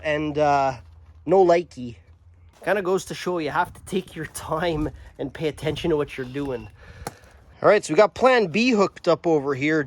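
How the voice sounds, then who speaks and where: A man's voice: on the high side, a bit bright. A man with a slightly nasal voice chatting offhandedly as he works in his home workshop, thinking aloud with plenty of uhs. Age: young adult to middle-aged, father of a daughter. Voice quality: slightly nasal from a sinus infection. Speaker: workshop channel host. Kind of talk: casual repair vlog narration. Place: home workshop or yard beside a mower.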